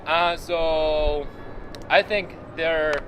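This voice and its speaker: Young male guest, high slow delivery, halting with fillers, music behind. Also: fairly even intonation, poor audio. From Philippines, raised in Japan. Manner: halting, frequent fillers, simple grammar. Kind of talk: casual interview. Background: background music.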